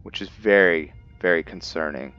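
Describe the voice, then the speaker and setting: A man's voice: sits low, normal speed, pushed and bright. A man giving casual roleplay commentary on a game, thinking aloud and slipping into character. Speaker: gaming host. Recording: dull lo-fi mic, music behind.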